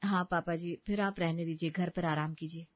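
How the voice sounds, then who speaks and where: A woman's voice: lowish voice, fairly even intonation, moderate pace, no room sound, lo-fi sound, voice pushed down, close to an everyday voice. A woman voicing a patient, burdened young housewife and mother in a story. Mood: gentle, weary. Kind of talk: dramatised dialogue in an audio story.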